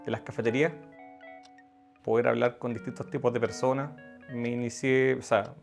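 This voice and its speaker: A Chilean man speaks with a gravelly edge, pausing and restarting his phrases as he searches for words. Soft guitar music sits in the background. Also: medium pitch. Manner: hesitant, repeating words as he thinks. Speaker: barista interviewee. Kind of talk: on-camera interview answers.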